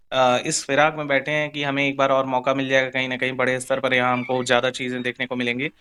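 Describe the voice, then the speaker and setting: A man's voice: medium pitch, a bit bright. A man presenting an informal explanatory update for exam candidates, repeating himself and mixing Hindi with English terms.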